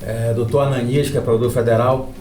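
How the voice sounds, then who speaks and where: Man, rapid talkative podcast host, roomy echo, faint music behind. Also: medium pitch, flat delivery, dark tone. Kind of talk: casual podcast conversation with anecdotes.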